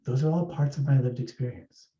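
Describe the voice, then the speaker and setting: A man from East Africa giving a measured, explanatory workplace presentation as an HR speaker. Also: mid-range voice, mellow. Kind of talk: presentation talk. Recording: roomy, distant, dull sound.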